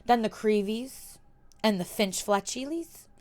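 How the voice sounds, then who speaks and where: A woman voicing a cold, taunting young male wizard in a story reading, speaking with quiet, measured menace. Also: a little high. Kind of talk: reading a fan story aloud.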